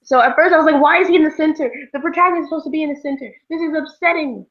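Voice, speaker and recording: A young woman with a fairly high voice co-hosts a casual book chat. She speaks informally, as if among friends. The recording is a little dull and lo-fi. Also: very fast. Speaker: book discussion show co-host. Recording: dull, lo-fi sound.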